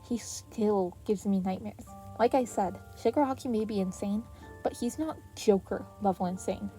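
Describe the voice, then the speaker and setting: A woman voicing an earnest, excitable teenage boy hero who gets flustered, in an anime fan story reading. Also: mid-range voice, normal speed, faint music behind, lightly acted. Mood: recounting, earnest. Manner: stammering when flustered.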